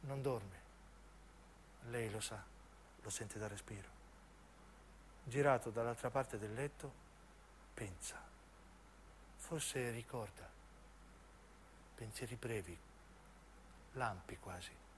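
A man narrates as a stage actor, in intense, deliberate phrases of dramatic radio storytelling. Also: mid-range voice, a little hiss or hum. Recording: piano music under the voice. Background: piano and keyboard music.

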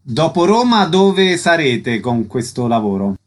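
A man's voice: on the high side, very animated, unhurried, a little hiss or hum. A man hosting radio, reading out scripted questions and announcements as an interviewer.

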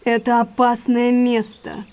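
An actress playing an anxious, secretive young gentlewoman in an audio drama, guarded and earnest. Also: fairly high pitch, flat delivery, a little slow. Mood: guarded, urgent.

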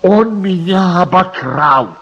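An actor playing a touchy older gentleman in a radio drama, formal and fussy, stammering when flustered. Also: sits high, expressive, takes it slowly, heavily acted. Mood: indignant. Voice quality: husky. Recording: dull, muffled radio drama recording.

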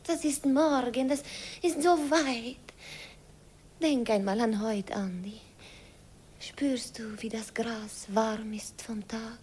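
An actress playing a young Hungarian village girl, tender and dreamy, in a hushed, soft voice, in an old radio recording. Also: a little high, slow. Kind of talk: 1950s German radio drama acting. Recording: faint hiss of an old 1951 radio recording.

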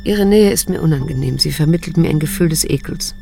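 An actress playing a bitter, weary middle-aged daughter in a radio drama, restrained and measured in dialogue and inner monologue. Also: female voice, deep voice, gravelly, over music. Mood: inward, repelled. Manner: restrained, measured, cool, introspective. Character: bitter, weary grown daughter, probing and self-controlled.

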